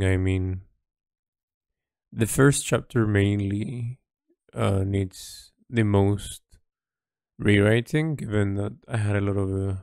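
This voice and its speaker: A man recording a reflective, unscripted audio diary as an artist, speaking in a halting, thoughtful way with pauses mid-phrase. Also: on the low side, a little mellow, dry recording, clean studio sound. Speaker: artist keeping an audio log.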